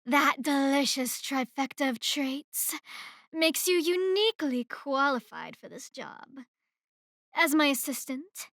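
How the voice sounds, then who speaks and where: Slow, high-voiced haughty female boss played by an actress. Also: lively intonation, fairly bright tone, dry recording, studio quality, full character voice. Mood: commanding, sultry. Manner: condescending, deliberate, mocking. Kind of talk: ASMR roleplay acting. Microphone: close microphone in a dry room.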